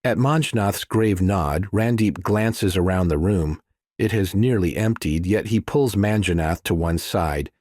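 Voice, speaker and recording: A man's voice: low-pitched, a little slow, darkish tone, a little hiss or hum. A man narrating a scripted thriller audiobook.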